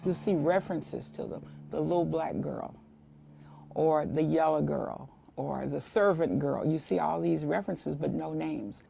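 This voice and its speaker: Lady in an interview, deep for a woman, super gravelly, reflective. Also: unhurried, dark tone, faint music behind. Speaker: documentary interviewee.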